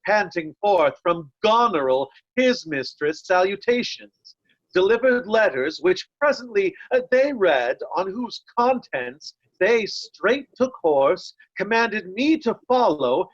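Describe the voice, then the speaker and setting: An actor playing a weary, loyal, stubborn nobleman in a Shakespeare read-through, speaking halting verse. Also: sits high, animated, no room sound, lo-fi sound, full character voice. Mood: indignant.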